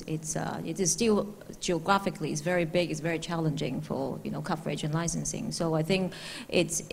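A woman's voice: low voice, vocal fry, darkish tone, a little hiss or hum. A middle-aged woman on a conference panel, an aviation company executive, answering hesitantly with many fillers into a handheld mic. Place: large conference hall stage.

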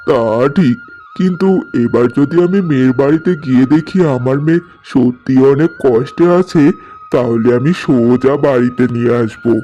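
A man's voice: medium pitch, moderate pace, dark tone, lo-fi sound, close to an everyday voice. A man voicing an earnest, protective middle-aged village father in a cartoon story. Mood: concerned. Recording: music bed under the voice.

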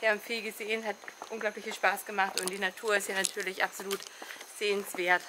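A woman's voice: medium pitch, flat delivery, fast talker, background noise. A young woman on a rainforest walk, casually adding a travel tip into her partner's handheld camera. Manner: friendly, adding tips. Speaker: travel vlogger. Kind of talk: casual vlog chat. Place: outdoors in a rainforest park. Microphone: partner's camera at arm's length.

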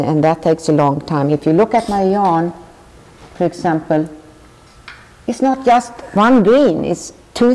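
Older Swedish-accented woman, earnest and halting, low warm tone. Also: in a large hall, low-quality recording. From Sweden. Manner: thoughtful, earnest, halting restarts. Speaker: tapestry artist. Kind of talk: interview answers. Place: large weaving studio with looms.

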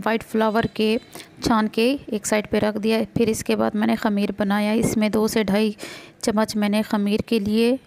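Gravelly-voiced woman narrating her cooking. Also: medium pitch, darkish tone. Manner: chatty, filler "achha" at each step. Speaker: cooking vlogger. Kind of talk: casual voice-over narration of cooking.

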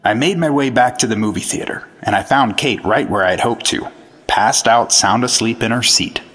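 A man's voice: medium pitch, moderate pace, fairly bright tone, breathy edge. An actor playing an earnest, dogged 1950s male FBI agent, narrating his case dramatically in an old-time radio detective drama. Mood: brooding, suspenseful.

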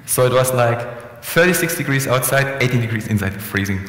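A young man giving a relaxed, conversational conference talk with frequent restarts and fillers, speaking into a headset mic in a conference room. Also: medium pitch, fairly quick. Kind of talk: conference presentation. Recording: echoey hall with long reverb.

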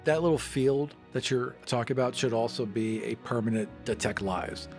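A man's voice: mid-range voice, moderate pace, darkish tone, faint music behind. A man in casual podcast chat, speaking emphatically and repeating phrases for effect. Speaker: podcast panelist.

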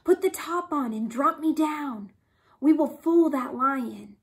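A woman's voice: high-pitched, takes it slowly, a little mellow. A woman voicing a cunning, plucky little female rabbit who coaxes and pleads in a storybook tale. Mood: scheming.

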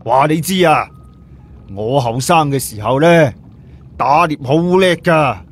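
A man's voice: fairly high pitch, lively intonation, slow pace, pitched above the performer's own voice. A man voicing a proud old village chief in a story, exclaiming and grumbling, boastful and easily flustered. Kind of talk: storybook character voice.

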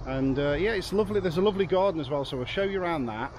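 A middle-aged English man rambles cheerfully into a camera held at arm's length, his voice sitting a little higher than most men's. He is outdoors in town streets and a garden, and a faint hum of traffic and surroundings runs behind him. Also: lively intonation, very fast, darkish tone. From England. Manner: chatty, jokey, rambling with restarts. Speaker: vlogger. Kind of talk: casual travel vlog chat.

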